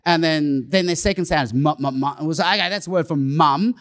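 A man's voice: on the high side, fairly quick. A middle-aged man whose first language is Cantonese, preaching and acting out conversations with rising emphasis. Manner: animated, acts out dialogue with rising emphasis. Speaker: pastor. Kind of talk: sermon storytelling.

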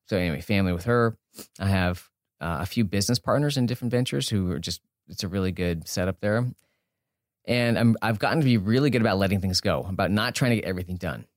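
A man's voice: low voice, dry recording, clean studio sound. A man in his forties giving a casual interview as a guest, informal and self-effacing, rambling a little as he explains. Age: 40s. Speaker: podcast guest, business author. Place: indoor studio space.

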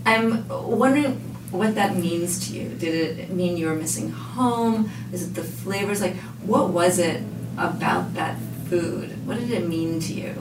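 Gentle probing woman host, low voice, distant mic with noise. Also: measured pace, reverberant. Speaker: interviewer, host. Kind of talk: interview questions.

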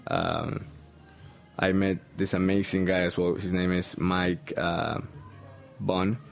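Young colombian guy, deep voice, halting casual chat, lots of uhs. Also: little pitch movement, vocal fry, soft background music. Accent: Colombian Spanish. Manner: hesitant, many ums and uhs. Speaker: bartender guest.

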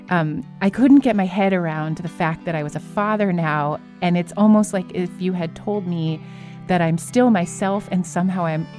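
A woman's voice: fairly low pitch, darkish tone. A woman hosting a podcast, moving between storytelling narration and conversational interviewing.

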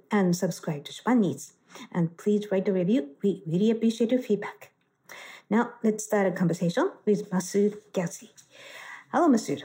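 A woman's voice: mid-range voice, mellow. A middle-aged woman hosting a live radio talk show, chatty and laughing easily. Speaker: podcast host.

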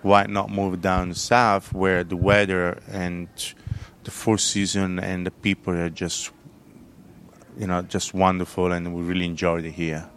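A very low-pitched man from southern Italy talks in Italian-accented English as a chef being interviewed. He stops and restarts often, filling gaps with "um" and "uh". A faint hiss sits behind him. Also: level tone, a bit bright. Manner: halting, many fillers and restarts, loose grammar. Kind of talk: casual interview talk.

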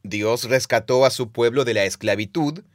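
Man, mid pitch, patient explainer, playful, dry close mic. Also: normal speed, no room sound. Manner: explains step by step, playful quiz asides. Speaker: podcast co-host explaining the Bible. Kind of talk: casual teaching conversation.

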